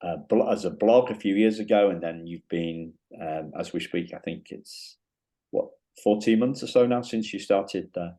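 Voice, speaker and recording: A man hosting an interview podcast, talking in a halting way with many restarts and fillers. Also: sits low, mellow. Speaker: podcast host. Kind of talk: casual interview chat.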